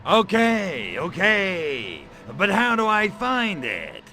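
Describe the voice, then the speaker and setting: An actor playing a cocky, wisecracking alien invader in a cartoonish video game, with a sardonic, drawling delivery. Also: male voice, sits high, wildly swooping pitch, slow pace, creaky, over music, exaggerated voice. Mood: incredulous, impatient.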